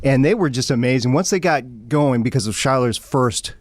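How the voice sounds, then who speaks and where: A man's voice: medium pitch, expressive, moderate pace, no room sound. A man telling a story with enthusiasm on a podcast roundtable, repeating words as he goes. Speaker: podcast panelist. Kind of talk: casual roundtable chat. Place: radio studio.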